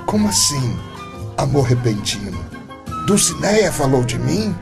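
A lovestruck, creaky-voiced old man. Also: mid-range voice, sing-song, a little slow, over music. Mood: urgent, puzzled. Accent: Brazilian. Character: eighty-year-old man, lovestruck, earnest and stubborn. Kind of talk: Brazilian radio drama acting.

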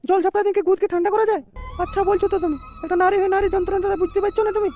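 A performer voicing a restless, complaining young village woman in an amateur comedy cartoon, with a pitched-up voice. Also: level tone, very fast, warm tone, soft background music. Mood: defiant. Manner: whining, crude, defiant. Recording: faint background noise.

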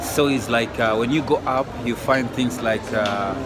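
A man's voice: mid-range voice, normal speed. A young man from Kenya talking casually to his phone camera as a travel vlogger while walking through a market, with many fillers. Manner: chatty, talks straight to camera, many fillers. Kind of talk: casual walking vlog narration. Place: busy indoor market and city street. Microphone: phone held at arm's length. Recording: noisy market crowd with loud music. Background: crowd chatter and music.

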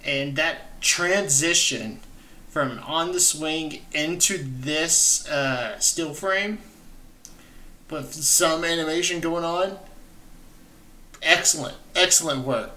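A young adult man hosting a gaming reaction, talking casually and enthusiastically in halting phrases, close to the mic. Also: on the high side, bright, forceful tone. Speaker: gaming channel host. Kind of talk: reaction commentary. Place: small indoor streaming room. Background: trailer music at times.

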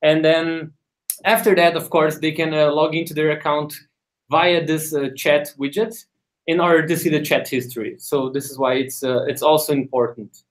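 A man's voice: a little high, flat delivery, moderate pace. A man informally explaining product features as a co-presenter in a webinar.

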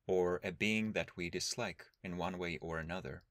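A man leading a guided meditation as a teacher, speaking in a calm, measured, instructional way with long pauses. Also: deep voice, no echo, clean studio sound.

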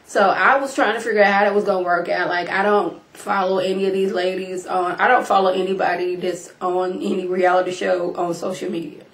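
A young woman, slightly hoarse and congested from being sick, chatting casually to her webcam as she recaps a reality show, calling her viewers y'all. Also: lowish voice, little pitch movement, brisk, few pauses, echoey room. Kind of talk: casual recap talk to camera. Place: small room at home, indoors. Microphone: webcam at desk distance.

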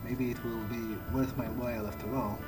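A man's voice: mid-range voice, little pitch movement, moderate pace, close to an everyday voice. A man voicing a thoughtful, cautious vampire who thinks aloud in a hesitant, understated way during a game playthrough. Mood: musing. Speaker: roleplaying video game player. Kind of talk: in-character improvised game playthrough. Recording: game music behind the voice.

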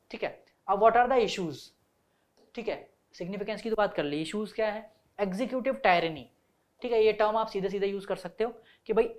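An Indian man in his thirties teaches like a classroom lecturer. He explains points conversationally, switches between Hindi and English, and keeps checking in with short tag words. He speaks into a lapel mic indoors. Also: high voice. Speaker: lecturer for civil service exam students. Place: indoor studio in front of a presentation screen.

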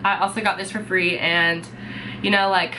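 A young woman chats casually to camera in her bedroom, sounding stuffed up from a cold. Her voice is bright, and she fills her talk with "um" and "like" as she goes. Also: lowish voice, little pitch movement, normal speed, a little hiss or hum, low-quality recording. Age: young adult. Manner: chatty, many fillers like "um" and "like". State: sick and congested. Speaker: beauty vlogger. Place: bedroom, indoors.

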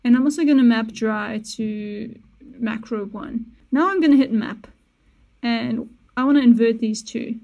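A young woman walks through music software step by step in an easy, casual way. Her voice is fairly high but mellow and soft-edged. She sounds close to a studio mic in a small treated room. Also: a little slow, highs cut off. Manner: relaxed, step-by-step explaining, self-correcting asides. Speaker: music producer tutorial host. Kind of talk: casual screen-recorded software tutorial. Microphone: studio mic on boom with headphones.

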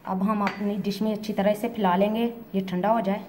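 A woman talks through a recipe at an even, mid-height pitch. She explains each step calmly and plainly, like a home cook. A faint hiss sits under her voice-over. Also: fairly quick. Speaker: cooking channel host. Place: home kitchen, hands at the stove.

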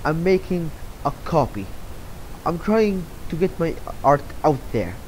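A man's voice: on the high side, big pitch swings, measured pace, a little mellow, slight background noise, poor audio. A young man from Saudi Arabia answering interview questions about his art in a loose, rambling way. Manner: rambling, many fillers and restarts. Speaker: interview guest, artist. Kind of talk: casual answers to read-out questions.

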